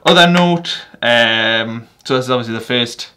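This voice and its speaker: A man's voice: mid-range voice, moderate pace, pushed and bright, clean tone. A young man with a North East English accent, giving a casual, rambling football match reaction with fillers and self-corrections. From England. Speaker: football fan vlogger.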